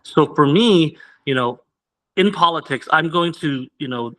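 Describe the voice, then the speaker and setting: A man's voice: a little high, animated, unhurried, pushed and bright, poor audio. A man whose first language is Vietnamese, a candidate earnestly answering interview questions with many restarts. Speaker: political candidate.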